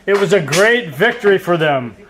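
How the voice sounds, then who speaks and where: A man's voice: sits high, lively intonation, moderate pace, a bit bright. A man telling a story as narrator of an amateur puppet show. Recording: faint background noise.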